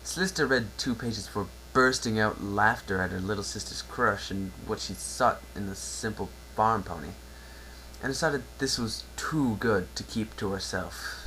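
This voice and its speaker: A man's voice: fairly low pitch, moderate pace, sharp tone, poor audio. A man narrating a story aloud as a dramatic amateur reading. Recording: faint background noise and hum.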